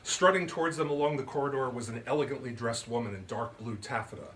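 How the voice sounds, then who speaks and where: A man's voice: medium pitch, quick pace, a bit bright. A man giving a live reading of his comic mystery novel as storybook narration. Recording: live reading with clear background noise. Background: clear ambient noise.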